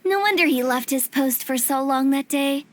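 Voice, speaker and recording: A woman's voice: high-pitched, normal speed, a little hiss or hum, lightly acted. A woman voicing a breezy, curious young female director in a video game cutscene. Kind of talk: animated video game dialogue.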